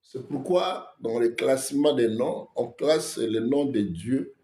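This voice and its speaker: Man, pastor, Congolese French accent, stuffy head cold, very slow delivery, livestream preaching. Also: mid-range voice, dark tone. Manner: slow, greets viewers by name. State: has a cold, coughing. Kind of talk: livestream sermon and greetings.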